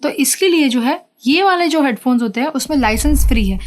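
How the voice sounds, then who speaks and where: High-voiced Indian woman presenting a product demo. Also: animated, a little fast. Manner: explanatory, addresses the viewer directly. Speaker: product reviewer and channel host. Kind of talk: conversational product demonstration.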